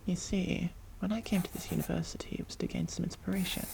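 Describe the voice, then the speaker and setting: A performer voicing a shy, polite vampire artist in a soft-spoken, breathy ASMR roleplay, hesitant and gently formal, often close to a whisper. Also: mid-range voice, steady tone, fast talker, low-quality recording. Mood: admiring, gentle.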